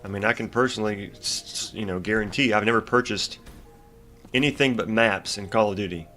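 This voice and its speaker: A young man with a stuffy, congested voice, pitched fairly low, rambling in a casual, frustrated rant full of ums and restarts. Faint music plays softly underneath him. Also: brisk. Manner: rambling, frustrated ranting with frequent fillers and restarts. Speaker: gaming YouTuber commentating gameplay. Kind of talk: casual gameplay commentary.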